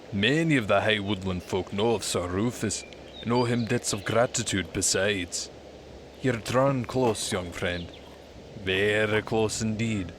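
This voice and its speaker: Guy doing a big gruff woodland animal for an audio drama, proud but kindly, old timey wording, noisy behind him. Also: lowish voice, lightly acted. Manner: old-fashioned, formal speech with archaic words. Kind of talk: audio drama acting in storybook manner. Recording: noisy, with clear background sound.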